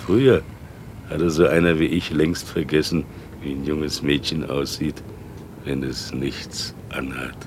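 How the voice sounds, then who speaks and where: A man's voice: rumbling low, fairly even intonation, measured pace, background noise. A man playing a bitter, sly old street sweeper in a radio play, grumbling to himself in a brooding way. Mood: furtive, sly delight. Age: older.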